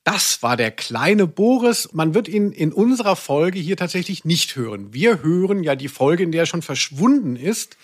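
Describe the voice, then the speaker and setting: A man's voice: a little high, sing-song, moderate pace, hardly any pauses. A man hosting a humorous podcast, talking in a wordy, mock-serious way. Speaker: podcast host.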